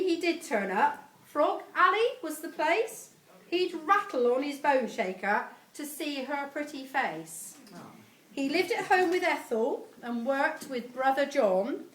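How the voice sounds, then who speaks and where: A middle-aged woman reads a homemade rhyming poem aloud to gathered guests. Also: sits high. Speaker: family member reading a tribute poem at a party. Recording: live event recording with clear background noise.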